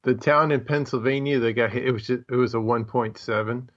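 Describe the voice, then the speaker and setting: A man's voice: medium pitch, steady tone, a little fast, lo-fi sound. An American man co-hosting a podcast, chatting casually with a joking, teasing manner. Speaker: podcast co-host. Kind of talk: casual chat.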